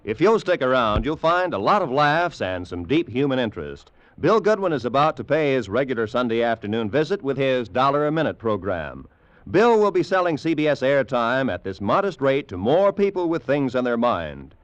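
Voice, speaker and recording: A man's voice: mid-range voice, very animated, moderate pace. An American man reading scripted credits and promos as a polished, formal 1940s radio announcer. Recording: muffled vintage radio recording.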